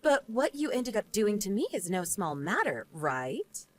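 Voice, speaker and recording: A woman's voice: mid-range voice, very animated, unhurried. A woman playing a smug, vengeful wife and former bullying victim in scripted story dialogue, taunting and sarcastic. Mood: smug reproach.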